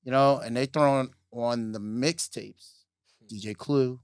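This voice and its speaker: Brief: middle-aged male co-host, raspy today, easygoing chat, mid pitch, dry close mic. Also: fairly even intonation, a little slow, dry recording. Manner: laid-back, filler-heavy, laughs often. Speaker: podcast co-host. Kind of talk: casual chat.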